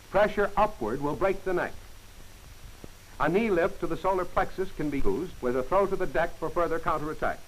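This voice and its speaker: A man giving scripted instructional narration for a training film, in crisp, clipped commands. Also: high voice, a bit bright, rough recording. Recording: hissy old film soundtrack.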